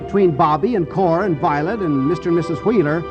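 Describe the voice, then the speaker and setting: Guy hosting 40s radio, scripted banter, bit high pitched, dull old recording w music. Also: quick pace. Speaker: radio show host.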